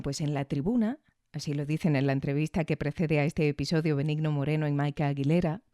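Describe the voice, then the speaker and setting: A woman's voice: deep voice, warm tone, dry recording, studio quality. A woman reading a reflective, scripted review as podcast host. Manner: reflective, measured.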